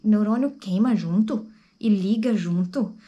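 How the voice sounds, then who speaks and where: A woman's voice: medium pitch, a little slow, dark tone, muffled recording. A woman chatting to the camera in a casual book review and explaining things to her viewers. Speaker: book vlogger.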